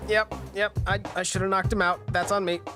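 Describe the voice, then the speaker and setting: A man's voice: sits high, level tone, a little fast, voice pushed up, lightly acted. A man voicing a shady, stoic surgeon in a tabletop game, in clipped, repetitive phrases. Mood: shaken, brushing off. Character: wicked male back-alley surgeon, stoic when hurt. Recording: music behind the voice.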